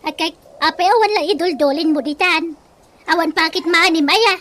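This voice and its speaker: Very shrill, brash housewife. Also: female voice, normal speed, fairly bright tone, a little hiss or hum. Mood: sassy complaining. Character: brash, vain, debt-prone housewife and mother. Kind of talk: Philippine radio comedy-drama acting.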